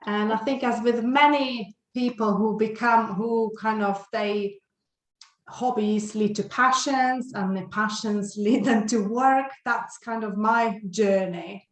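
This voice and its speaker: A woman's voice: fairly high pitch, takes it slowly, reverberant. A woman in her forties from Lithuania, a guest on a podcast interview, talking with many fillers and restarts. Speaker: guest, health and well-being coach.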